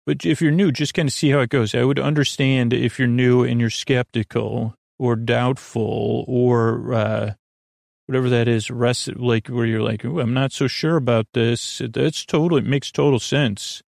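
A man with a mid-pitched, very creaky voice rambles as a sleep podcast host. He is soothing and lulling, drifting between tangents and trailing off mid-sentence. The sound is dry, with no room around it. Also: steady tone, moderate pace, a little mellow, no echo. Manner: meandering, tangential, trailing off and restarting.

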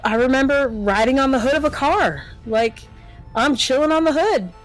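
A woman's voice: high-pitched, moderate pace, faint music behind. An American woman in middle age telling stories in casual chat, animatedly acting out what people said. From eastern Pennsylvania, US. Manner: animated storytelling, acts out quoted remarks. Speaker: podcast co-host or guest.

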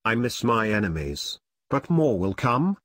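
A man's voice: mid-range voice, very animated, a little slow, a bit bright, pitched above the performer's own voice. A man voicing the Reaper, a sad immortal lord of the dead, declaiming mournfully in a dramatic podcast story. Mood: brooding, mournful.

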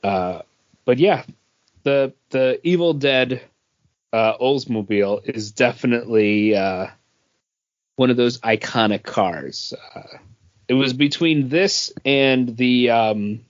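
A man's voice: mid-range voice, slow pace, long pauses, dry recording, dull audio. A man co-hosting a podcast, talking casually about pop culture, hesitant and often circling back over his phrases. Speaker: podcast co-host.

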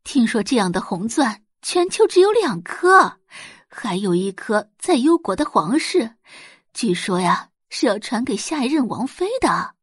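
Audiobook bit part played by a woman: envious gossiping lady guest, scornful, exclaiming, very animated pitch. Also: unhurried, husky, dry recording, studio quality, full character voice. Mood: gossipy awe. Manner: exclaiming, gossipy, mock-pitying. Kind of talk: audiobook drama character acting.

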